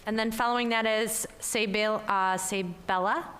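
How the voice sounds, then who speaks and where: A woman's voice: mid-range voice, steady tone, moderate pace, a bit bright. A woman chairing a meeting, making formal procedural announcements to speakers. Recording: reverberant meeting hall.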